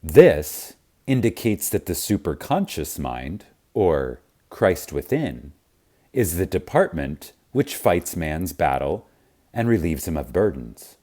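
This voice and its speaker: Deep-voiced, slow, reverent male narrator. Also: big pitch swings, dark tone. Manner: reverent, emphatic, with deliberate dramatic pauses. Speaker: audiobook narrator. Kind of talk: scripted audiobook reading of a spiritual teaching.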